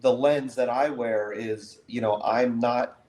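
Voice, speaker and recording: A male podcast host speaks slowly and deliberately in a measured, thoughtful way. His voice carries a roomy echo. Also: medium pitch, steady tone, a little mellow. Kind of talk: casual interview talk.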